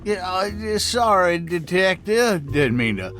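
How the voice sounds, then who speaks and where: Shifty, folksy game character: hesitant and wheedling, widely swinging intonation, music behind. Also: male voice, high-pitched, big pitch swings, slow pace, full character voice. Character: shifty, folksy man hunting a runaway girl. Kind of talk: cinematic video game voice acting.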